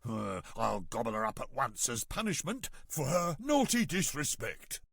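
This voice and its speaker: Guy doing a pompous indignant daddy bear from a fairy tale, voice swoops all over, husky edge. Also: mid-range voice, big pitch swings, moderate pace, fairly bright tone, dry recording, clean studio sound, heavily acted. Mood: triumphant menace. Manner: exclamatory, old-fashioned pompous outrage.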